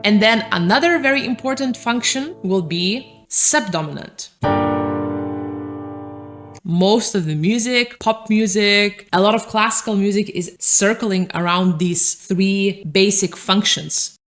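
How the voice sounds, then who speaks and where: A woman from Lithuania, a native Lithuanian speaker, teaching a piano lesson and calmly explaining scales while she plays. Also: mid-range voice, measured pace. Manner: calm, methodical explaining while playing. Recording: piano music under the voice. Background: piano playing.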